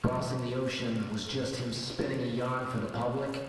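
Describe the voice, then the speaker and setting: An actor playing a grim, brooding vigilante haunted by the dead, in old-time radio drama style. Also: male voice, mid-range voice, flat delivery, normal speed, natural voice. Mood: grave, pondering. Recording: noisy, distant, echoing hall-like reverb.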